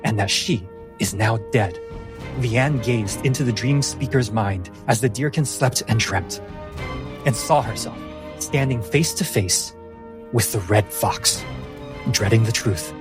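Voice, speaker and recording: Solemn male game master over music. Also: lowish voice. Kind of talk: dramatic scripted recap narration for a tabletop game. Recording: music bed under the voice.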